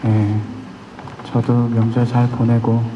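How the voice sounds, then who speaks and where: A thirty-year-old male singer talking softly and shyly to a concert audience between songs, on a handheld stage microphone. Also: mid-range voice, completely flat delivery, slow pace, highs cut off, background noise. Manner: soft-spoken, shy and playful with the audience. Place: large concert hall, on stage. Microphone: handheld stage mic. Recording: concert hall with long reverb, music behind.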